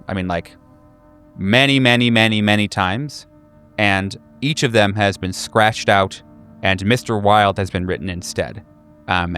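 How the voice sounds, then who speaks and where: A man running a horror tabletop game, improvising scene descriptions in a casual, halting way. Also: on the low side, fairly bright tone, clean tone. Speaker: game master.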